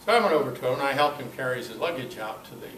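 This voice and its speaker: An older man giving an informal talk at a club meeting, telling personal anecdotes in a halting, conversational way. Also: mid-range voice, animated. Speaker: guest speaker at a club meeting. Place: meeting room, in front of an audience. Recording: echoey, noisy room recording from far off.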